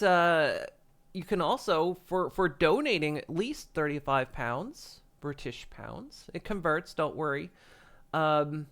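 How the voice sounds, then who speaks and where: A man with a slightly raised voice chats to his stream audience while clearly congested and stopped up. He rambles, laughs often, and trips over his own sentences. He sounds like he is talking into a desk mic at a home setup. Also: a little high, fairly bright tone. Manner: rambling, frequent chuckles and restarts. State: congested, stuffy nose. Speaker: livestreamer. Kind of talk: casual stream chat. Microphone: desk microphone.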